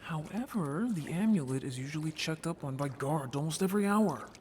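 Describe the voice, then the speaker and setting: A man playing a shy, curious and anxious young merfolk in an audio roleplay drama, with a soft, hesitant delivery. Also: on the high side, lively intonation, brisk, slight background noise, lightly acted. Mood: unsure.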